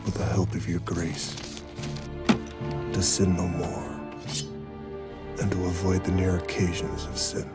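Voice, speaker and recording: Deep, flat-toned tormented priest. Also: male voice, slow pace, pauses often, loud music behind the voice. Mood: penitent, grave. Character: solemn, tormented priest reciting a penitent prayer. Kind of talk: film acting.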